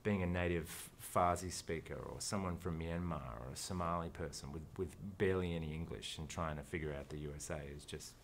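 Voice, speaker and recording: Australian male actor, interview guest, deep voice, casual and thoughtful, restarts a lot. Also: steady tone, brisk, hardly any pauses, slight background noise. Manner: thoughtful, rambling, with frequent restarts.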